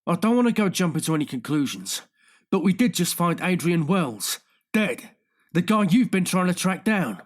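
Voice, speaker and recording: A man's voice: sits high, big pitch swings, moderate pace, voice pushed up. A man voicing a tired, exasperated police detective and worried friend in an audiobook, blunt and pleading. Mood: urgent. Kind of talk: audiobook character dialogue.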